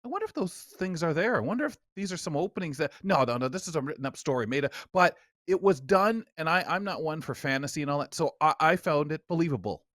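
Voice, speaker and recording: A man's voice: fairly high pitch, animated, fast, few pauses, fairly bright tone, no room sound, clean studio sound. A man hosting a talk show, chatting casually and rambling his way into questions. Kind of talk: casual radio chat.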